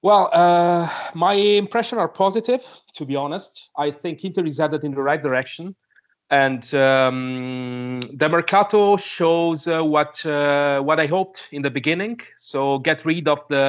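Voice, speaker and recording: A man's voice: mid-range voice, clear, resonant tone. A man giving his opinion on a podcast, speaking hesitantly in non-native English and searching for words. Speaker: podcast panelist.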